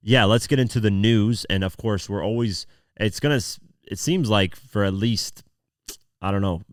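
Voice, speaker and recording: Very deep-voiced man with a sore throat. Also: moderate pace, no echo, studio quality. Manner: informal, conversational, reads articles aloud then comments. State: sore throat, voice not at full strength. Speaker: podcast host. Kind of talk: casual news commentary podcast.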